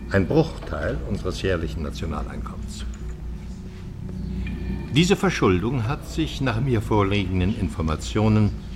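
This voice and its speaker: An older man playing a charming, bold professor emeritus in a German radio play, speaking in a measured, lecturing, ironic way. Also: fairly low pitch, noisy background.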